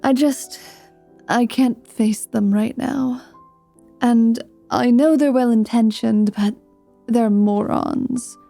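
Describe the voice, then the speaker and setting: A woman performs a female half-vampire mercenary from a fantasy audio roleplay. She is sardonic, world-weary and a little unhinged, speaking slowly in a mellow, slightly high voice. Her delivery is teasing, dry and theatrical. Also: fairly even intonation, creaky, faint music behind. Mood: sardonic, brooding. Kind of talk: ASMR fantasy roleplay acting.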